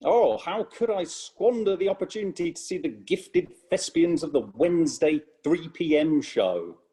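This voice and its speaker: A man's voice: a little high, animated, moderate pace, warm tone, close to an everyday voice. An actor playing a pompous, sardonic, mocking man in a comedy table read.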